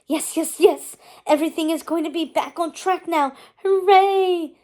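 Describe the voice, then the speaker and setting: A woman voicing an excitable, impulsive young girl in a storybook, full of exclamation. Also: extremely high pitch, unhurried, pitched above the performer's own voice. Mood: elated.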